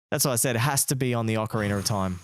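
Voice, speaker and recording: A man's voice: lowish voice, fairly quick, dry recording, clean studio sound. An Australian man co-hosting a podcast, chatting about games in a laid-back, slangy way. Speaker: podcast co-host. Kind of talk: casual gaming chat.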